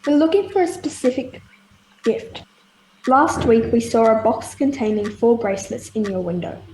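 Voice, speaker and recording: A woman's voice: fairly high pitch, moderate pace, darkish tone, natural voice. A girl of ten or eleven, playing a polite schoolgirl in a radio play. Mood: hopeful. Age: child, 10 to 11. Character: polite, reassuring schoolgirl on an errand. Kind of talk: children's radio play acting. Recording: echoey, noisy home video-call recording.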